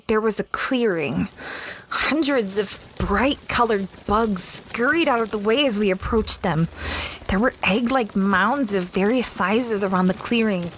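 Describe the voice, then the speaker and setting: Actress as anxious young space heroine for audio drama, higher voice, conversational and hesitant, noisy ambient backdrop. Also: female voice, a bit bright. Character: young female space adventurer recounting her expedition, anxious, evasive. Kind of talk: audio drama acting and narration.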